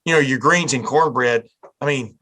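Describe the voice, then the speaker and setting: Bright-voiced man, chatty host. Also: mid-range voice, moderate pace. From Alabama. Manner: chatty, joking. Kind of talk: casual interview talk.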